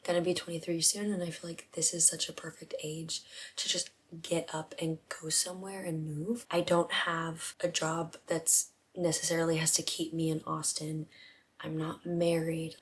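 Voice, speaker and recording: A woman in her twenties chatting casually to her vlog camera in a hushed, half-whispered voice at home at night. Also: low-pitched, flat delivery, moderate pace. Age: 20s. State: voice rough from not talking. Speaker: vlogger.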